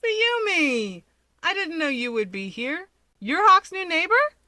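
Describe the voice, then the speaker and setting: A woman voicing a brash, bold young female hero with a loud, exclaiming manner in story narration. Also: very high voice, very animated, measured pace, dry recording, studio quality, voice pushed up, exaggerated voice. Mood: surprised, excited.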